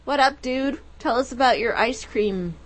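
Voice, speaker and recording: A woman co-hosting a call-in radio show, chatting loosely with quick jokes and asides. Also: high-pitched, poor audio. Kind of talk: casual radio chat taking callers.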